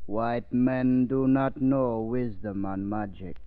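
Actor as a slow, solemn old island servant. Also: male voice, medium pitch, steady tone, smooth, lightly acted. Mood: solemn, proud. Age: older. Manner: broken pidgin English, solemn. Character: grieving old island houseman, dignified, servile, solemn. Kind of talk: 1940s radio acting. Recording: muffled, phone-like old radio recording.

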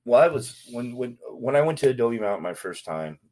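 A man recounting his past in casual street slang, animated and talkative. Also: mid-range voice, a little fast. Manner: street slang, animated retelling with acted quotes. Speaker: guest.

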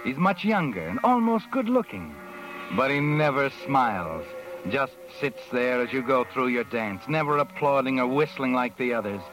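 An actor playing a knowing, taunting narrator of a 1940s radio mystery, telling the story insinuatingly in the second person. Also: male voice, medium pitch, sing-song, moderate pace, lightly acted, low-quality recording. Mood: ominous, insinuating. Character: ominous, knowing male narrator taunting the guilty heroine. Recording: vintage radio recording. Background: music.

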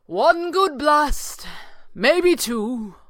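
A woman's voice: fairly high pitch, big pitch swings, moderate pace, no room sound, pitched above the performer's own voice. A woman voicing a gallant, composed male sorcerer in a pulp adventure audiobook, old-fashioned and authoritative. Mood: strained, urgent.

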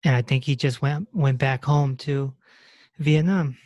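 A woman hosting a podcast, interviewing a guest in a relaxed, affirming way and sharing her own stories. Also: a bass voice, measured pace, no echo. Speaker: podcast host. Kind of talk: conversational interview.

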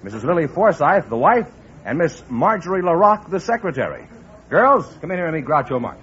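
A man's voice: on the high side, very animated, a little fast, a bit bright. A man working as a quiz show announcer, formally reading out scores and introducing guests. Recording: dull old radio recording, faint noise.

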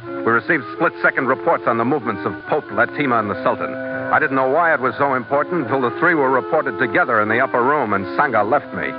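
A man acting a tough, world-weary adventurer captain in an old radio serial. His medium-pitched voice is deadpan and clipped, telling the tale hardboiled style over loud music on a dull, aged recording. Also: fast, close to an everyday voice. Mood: hardboiled, tense. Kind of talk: 1940s-50s radio adventure acting, hardboiled first-person narration.

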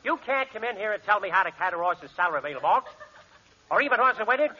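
An actor playing a hotel manager who rattles off confident double-talk gibberish in a 1940s radio comedy. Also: male voice, sits high, lively intonation, racing, sharp tone, full character voice. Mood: indignant. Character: fast-talking male manager spouting double-talk gibberish. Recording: hissy lo-fi old radio recording.

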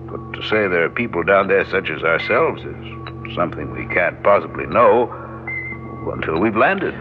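Actor as a measured older scientist, deep voice. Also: male voice, lightly acted. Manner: measured, deliberate, pedantic. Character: older, cautious, rational male scientist on a space expedition. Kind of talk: old-time radio drama acting. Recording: dull old radio, music behind.

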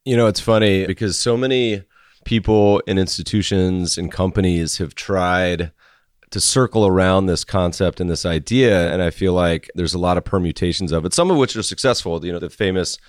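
A hoarse man hosting a podcast, chatting casually with rambling asides. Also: low-pitched, moderate pace, dry recording, studio quality. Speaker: podcast host. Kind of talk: casual interview chat.

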